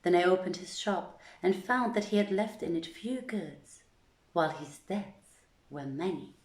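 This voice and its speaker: Slow, echoey woman storyteller, lowish voice. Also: animated. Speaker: storyteller, reader. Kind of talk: dramatic scripted storytelling, archaic literary narration. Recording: roomy, echoing voice recording.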